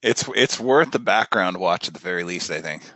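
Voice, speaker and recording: A man's voice: sits low, expressive, normal speed, fairly bright tone, no room sound. A man giving casual, conversational reaction commentary as he watches along.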